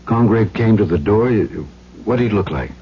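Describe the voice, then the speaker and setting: A man's voice: fairly low pitch, expressive, normal speed, slightly rough. An actor playing a nervous, evasive man in an old-time radio drama, stammering and repeating his words. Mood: flustered. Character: Hans, nervous, evasive, agitated man with a guilty secret. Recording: muffled, noisy old radio recording.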